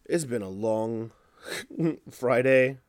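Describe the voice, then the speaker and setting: A man hosts a casual commentary show in a slightly hoarse, mid-pitched voice. He talks conversationally and without a script, offering opinions and working through his thoughts out loud. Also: drawn-out words. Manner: conversational, opinionated, thinking aloud. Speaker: wrestling news podcast host.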